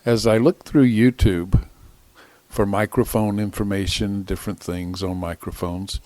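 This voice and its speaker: A man's voice: on the low side, steady tone, unhurried, mellow, dry recording. An older man giving an informal, matter-of-fact demonstration as a radio hobbyist, speaking plainly into vintage desktop radio microphones. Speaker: amateur radio hobbyist presenter. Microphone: vintage desktop radio microphones, recorded directly.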